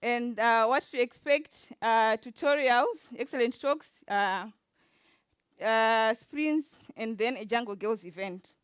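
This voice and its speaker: A woman with a Zimbabwean accent gives an informal conference presentation as an event organizer, speaking haltingly with frequent filled pauses. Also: on the high side, clear, resonant tone, dry recording. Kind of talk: informal lightning talk presentation. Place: conference talk before an audience.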